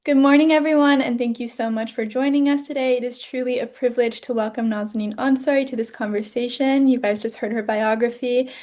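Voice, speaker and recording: A woman's voice: on the high side, fairly even intonation, a little fast, hardly any pauses, a little mellow. A young woman hosting an interview, first reading a guest introduction and then asking questions in an earnest, gracious way. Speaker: host.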